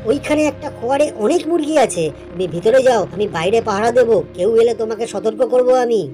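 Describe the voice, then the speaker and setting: Woman as a quick-talking clever cartoon goat. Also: fairly high pitch, expressive, warm tone, faint music behind, close to an everyday voice. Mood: coaxing, sly. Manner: coaxing, pleading. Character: clever, quick-witted friendly male goat. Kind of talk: children's animated folk tale acting.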